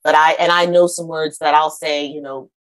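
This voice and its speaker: A woman's voice: low voice, fairly even intonation, moderate pace. A woman author from the United States, answering interview questions in a chatty way with many fillers and restarts. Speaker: author guest.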